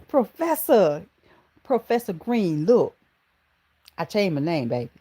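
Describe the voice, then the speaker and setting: A woman's voice: on the low side, slow, husky. A woman from Georgia with a Southern accent, hosting a casual livestream and greeting viewers by name, calling them baby and honey. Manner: affectionate, calls viewers baby and honey, animated exclamations. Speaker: livestream host.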